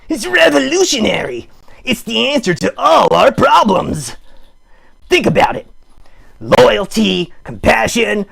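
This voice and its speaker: High, gravelly, excitable puppet voice. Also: male voice, exaggerated voice. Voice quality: raspy. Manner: emphatic, exclamatory, mock-campaign speech. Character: excitable puppet character, earnest champion of dogs. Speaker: puppeteer host. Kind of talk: live improvised puppet show monologue.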